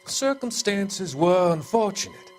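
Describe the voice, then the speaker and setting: An actor playing a cold, manipulative doctor in a crime drama game, clinical and controlling in manner. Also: male voice, high voice, animated, measured pace, a little mellow, faint music behind. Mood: cold, evasive. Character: cold, manipulative male doctor, calmly evasive.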